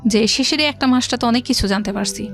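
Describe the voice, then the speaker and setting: A woman's voice: on the high side, fast talker, fairly bright tone. A middle-aged woman with a Bangladeshi accent, a guest telling her life story conversationally, with some English words mixed in. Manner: conversational, quotes past dialogue, mixes in English words. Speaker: interview guest. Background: background music.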